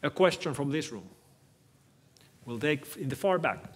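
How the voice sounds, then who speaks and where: A middle-aged man whose native language is Finnish, giving a measured, thoughtful keynote talk on stage in a lecture hall, speaking into a headset mic. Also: a little high, animated. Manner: measured, thoughtful, frequent restarts. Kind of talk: conference keynote with audience Q&A.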